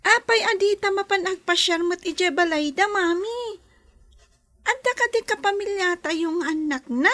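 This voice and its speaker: A woman's voice: very high voice, moderate pace, fairly bright tone. A woman playing a young daughter who pleads and questions her mother in a radio drama. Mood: curious, pleading.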